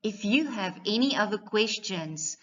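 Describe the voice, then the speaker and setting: A woman's voice: medium pitch, animated, measured pace, fairly bright tone, dull audio. A woman teacher giving a scripted presentation to parents in a didactic, carefully enunciated way.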